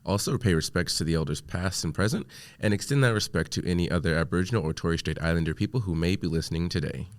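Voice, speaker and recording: American man, podcast co-host, fast talker, lowish voice, jokey. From United States. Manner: joking, chatty, playful asides. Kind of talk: casual podcast chat.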